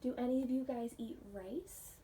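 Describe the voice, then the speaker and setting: A woman preschool teacher reading a picture book aloud in a gentle, simple teacherly way, pausing to put questions to young listeners. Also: on the high side, steady tone. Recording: distant microphone, faint background noise.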